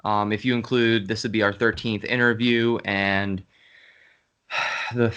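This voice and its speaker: A man's voice: fairly low pitch, level tone, measured pace, a bit bright, smooth, muffled recording. A man in his late twenties hosting a podcast interview in a loose, joking way, swearing freely. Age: 20s. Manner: loose, swearing, self-mocking. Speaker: podcast host.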